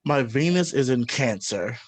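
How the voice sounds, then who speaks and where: A man in his thirties from Fort Lauderdale hosts a podcast. He chats casually and hesitates a little as he works out his answers, in a voice of middling pitch. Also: animated, measured pace. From Fort Lauderdale, Florida. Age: 30s. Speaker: podcast host. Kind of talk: casual chat.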